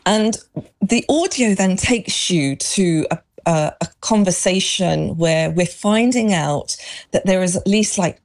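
A woman's voice: fairly low pitch, slow pace. Chatty middle-aged woman. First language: Urdu. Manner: chatty, with many fillers and restarts. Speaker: guest, exhibition creator. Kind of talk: radio interview.

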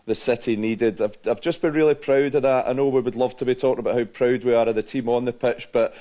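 A Scottish man speaks in an earnest, reflective way as a sports radio presenter. His voice is mellow and warm, at an everyday pitch. He talks in a relaxed broadcast chat. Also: quick pace. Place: remote link from abroad.

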